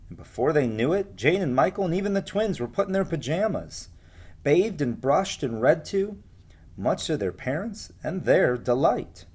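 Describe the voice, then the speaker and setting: Man reading aloud with very lively pitch. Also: normal speed, breathy edge. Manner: expressive, addresses young listeners directly. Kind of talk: storybook read-aloud with casual asides.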